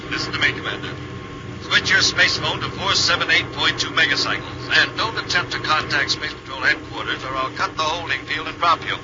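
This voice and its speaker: A man's voice: on the high side, natural voice. Man playing a cold, scheming radio-serial villain. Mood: gloating threat. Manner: commanding, menacing, deliberate. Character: scheming, murderous male villain, coldly confident gang boss. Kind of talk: 1950s radio serial acting. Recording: noisy, muffled 1950s radio recording.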